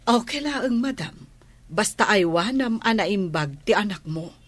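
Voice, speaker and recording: An actress playing a devoted, respectful but steadfast household nanny in a Filipino radio drama, with a deferential, earnest manner and a Filipino accent. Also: female voice, mid-range voice, animated, unhurried, dry recording. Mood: firm, respectful.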